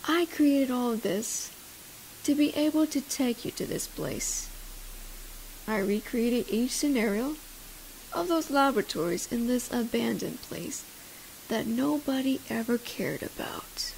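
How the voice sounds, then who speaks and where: A high female voice performed by an actress plays an obsessive, menacing false sweetheart in an intimate audio drama. She speaks slowly and coaxingly, stretching words and slipping toward breathy whispers. A faint noise sits behind the voice. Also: expressive, darkish tone, low-quality recording. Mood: possessive, gloating. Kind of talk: scripted audio roleplay addressed to the listener.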